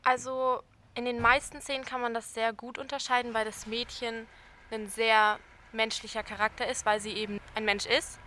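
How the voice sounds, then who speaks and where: A woman's voice: fairly high pitch, level tone, moderate pace, pushed and bright, a little hiss or hum. A young woman, a soprano from Berlin, answering interview questions thoughtfully and searching for words. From Berlin, Germany. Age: young adult. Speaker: soprano singer.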